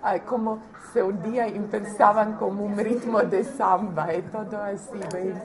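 A woman's voice: medium pitch, fairly even intonation, normal speed, crowd noise. Croatian woman speaking careful Portuguese. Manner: searching for words, warm, often laughing. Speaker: guest. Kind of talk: casual interview chat.

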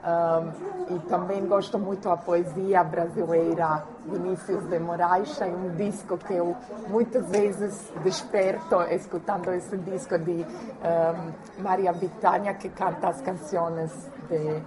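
A woman from Croatia speaks as an interview guest in somewhat low-pitched, careful, halting Portuguese that is not her first language. Now and then she slips into Spanish words. A crowd murmurs clearly in the background. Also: fairly even intonation. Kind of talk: casual interview chat.

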